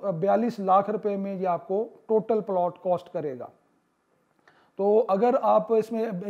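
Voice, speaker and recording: Male consultant, high and soft-toned, persuasive voice-over full of "uh" fillers, muffled lo-fi sound. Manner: persuasive sales pitch, many "uh" pauses. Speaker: real estate consultant. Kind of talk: promotional voice-over.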